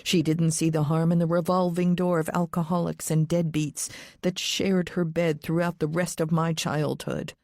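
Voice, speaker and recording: A woman's voice: sits low, flat delivery, normal speed, hardly any pauses, a little mellow, no room sound, studio quality. A woman reading a scripted first-person thriller audiobook as its narrator.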